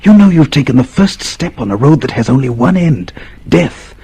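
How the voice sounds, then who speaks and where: An actor playing an ominous, chiding inner voice in a mid-century radio drama, with a husky sound. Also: male voice, medium pitch, very animated, normal speed, mellow, full character voice. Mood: ominous, accusing.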